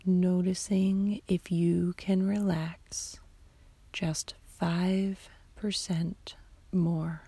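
A woman guiding a sleep meditation in a soft, half-whispered, soothing voice, leaving long pauses between short phrases. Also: on the low side, flat delivery, breathy edge, no room sound. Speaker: meditation guide.

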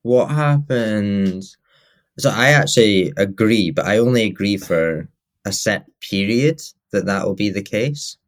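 A man's voice: lowish voice, expressive, unhurried. A man co-hosting a podcast in casual chat, rambling and correcting himself as he talks. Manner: rambling, with many "like" fillers and self-corrections. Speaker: podcast co-host.

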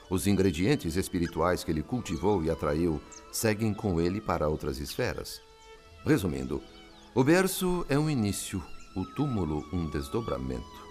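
An actor with a Brazilian accent playing a wise, kindly spirit friend in a radio drama, speaking in a theatrical, didactic way. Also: male voice, low-pitched, a little mellow. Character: wise, kindly male spirit friend narrating his afterlife story.

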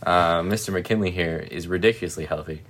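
A man's voice: deep voice, brisk, fairly bright tone. A man in his forties co-hosting a podcast in casual, joking chat, laughing often. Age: 40s. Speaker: podcast co-host.

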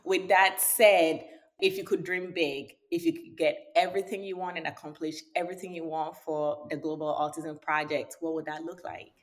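A woman's voice: low voice, steady tone, moderate pace. A woman from Ghana hosting a podcast interview, speaking earnestly and appreciatively. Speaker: podcast host.

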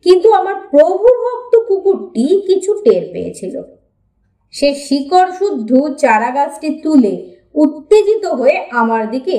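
A woman reading a humorous story aloud as an expressive audiobook narrator. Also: high voice. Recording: roomy echo, distant from mic.

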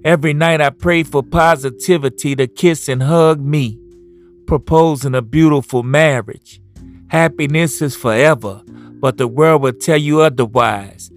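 A middle-aged man from New Orleans, a poet reading a measured self-introduction and a poem. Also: on the high side. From New Orleans, USA. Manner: measured, spells words out. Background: soft guitar music.

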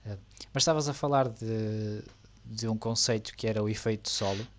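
A man chatting casually as a co-host, relaxed and joking, with frequent fillers. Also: on the low side, moderate pace. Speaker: co-host of a motorsport tech talk. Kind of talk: casual chat.

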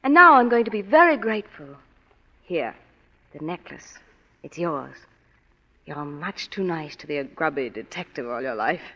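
An actress playing a scheming, seductive woman who pleads in a breathy way, in 1940s radio acting. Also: sits low, sing-song, normal speed, pauses often, exaggerated voice. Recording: dull 1940s radio broadcast recording.

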